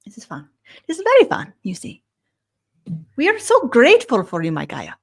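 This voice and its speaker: A woman voicing a benevolent collective spirit guide who speaks as "we", humming "mm" and trailing off into soft murmured "you see". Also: medium pitch, huge pitch swings, vocal fry, exaggerated voice. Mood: serene. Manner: measured, gently instructive. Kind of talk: channeled spiritual session.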